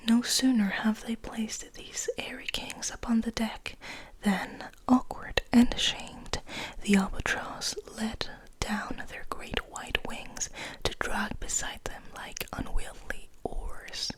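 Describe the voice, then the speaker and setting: A woman speaks in a hushed ASMR whisper, slowly and gently, as if hosting a quiet reading. Her delivery stays even and level, with a slightly high voice and very little swing in pitch. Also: poor audio. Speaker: ASMR host. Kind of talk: whispered ASMR poetry reading and rambling in English and French.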